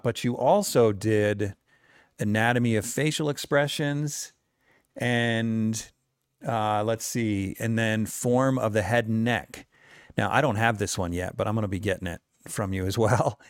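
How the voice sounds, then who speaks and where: A man's voice: fairly low pitch, steady tone, unhurried, clean tone, dry recording, studio quality. A man in his forties hosting a podcast interview, talking in an effusive, enthusiastic way and laughing easily. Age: 40s. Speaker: podcast host.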